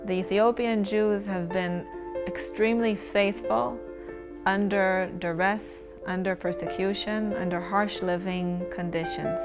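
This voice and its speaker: A woman giving measured, explanatory documentary-style narration for a news feature. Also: mid-range voice, fairly even intonation. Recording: music bed under the voice.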